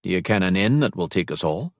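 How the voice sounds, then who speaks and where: A man voicing a gruff, wry, protective Scottish Highlander in an audiobook, with a Scottish accent. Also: expressive, fast talker, dry recording, studio quality. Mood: decisive. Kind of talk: audiobook character dialogue.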